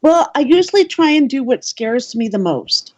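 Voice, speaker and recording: A woman guest on a radio panel, talking casually with many pauses and ums. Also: high voice, big pitch swings, darkish tone, husky, dull audio. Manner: hesitant, many ums, laughs often.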